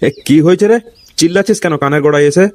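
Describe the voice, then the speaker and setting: A man's voice: fairly high pitch, rapid-fire, noisy background, close to an everyday voice. A man voicing a grumpy, foul-mouthed older village man in a comic cartoon, scolding and threatening indignantly. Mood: exasperated.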